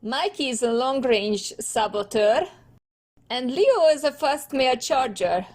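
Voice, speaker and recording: A woman's voice: high voice, expressive, measured pace, darkish tone. A woman voicing a proud, wordy teenage turtle boy inventor in a cartoon comic dub, with a pompous, theatrical manner.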